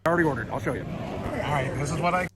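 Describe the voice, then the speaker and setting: A man's voice: fairly high pitch, very fast, background noise. A man narrating a vlog to camera in a chatty, eager way. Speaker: travel vlogger. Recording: vlog clip with loud music. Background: loud music.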